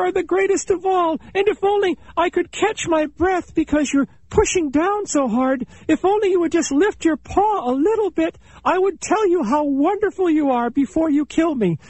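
A man voicing a small, boastful male chipmunk who mocks and then flatters, in a high squeaky voice. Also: no room sound.

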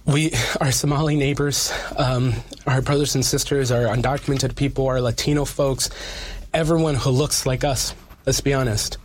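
A man's voice: mid-range voice, slight background noise. A man speaking as a community activist in an interview, earnest and emphatic, repeating phrases for weight.

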